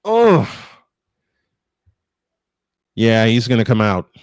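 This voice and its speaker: Male play-by-play baseball announcer, mid-pitched and very lively, folksy and exclamatory, chatting between pitches on a dry mic. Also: extremely slow, smooth, dry recording. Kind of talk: live baseball commentary mixed with casual chat.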